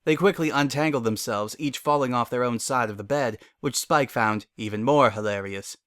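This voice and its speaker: A man's voice: mid-range voice, moderate pace, fairly bright tone, no echo, studio quality. A man giving a scripted dramatic narration of a story.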